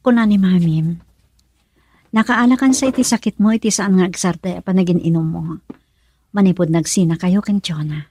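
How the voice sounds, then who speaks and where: Husky, soft-spoken ailing young sweetheart. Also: female voice, fairly low pitch. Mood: concerned. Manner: soft, halting, emotional delivery, often near whisper. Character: seriously ill young woman, tender, resigned and sorrowful in love. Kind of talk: Ilocano radio love drama acting.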